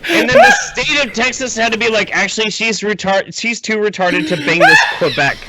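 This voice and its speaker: A man's voice: high-pitched, wildly swooping pitch, normal speed. A man co-hosting a podcast in casual, incredulous, profane banter. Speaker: podcast co-host. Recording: clearly noisy podcast audio.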